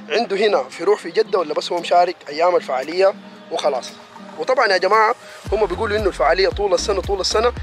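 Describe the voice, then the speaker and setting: Rapid-talking man vlogging. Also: high voice. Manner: rapid, enthusiastic, addresses viewers directly. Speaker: vlogger reviewing a city event. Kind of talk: casual chat to viewers.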